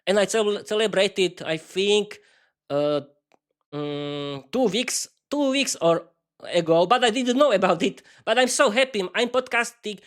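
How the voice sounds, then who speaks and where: Man in his 30s, native Slovak, enthusiastic podcast host, halting speech, repeats words. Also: high-pitched, animated, a bit bright. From East Slovakia. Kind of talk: improvised solo podcast monologue.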